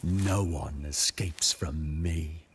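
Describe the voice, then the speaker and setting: Slow, ominous, scheming priest. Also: male voice, extremely low pitch, heavy background noise, heavily acted. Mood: stern, menacing. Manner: formal, measured, menacing. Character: ominous, scheming male priest, stern and authoritative. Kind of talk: dramatic video game acting. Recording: music under the voice.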